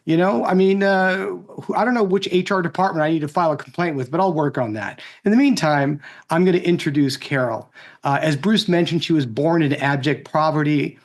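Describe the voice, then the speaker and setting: Male co-host in his sixties, fairly high voice, conversational but rhetorical political speaker. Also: a little fast. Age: 60s. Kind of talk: conversational political speech introducing a guest.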